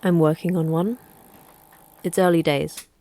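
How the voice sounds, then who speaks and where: A woman's voice: fairly low pitch, steady tone, a little slow, warm tone. A woman playing a guarded, evasive schemer in a sci-fi horror audio drama, answering in curt, cool deflections.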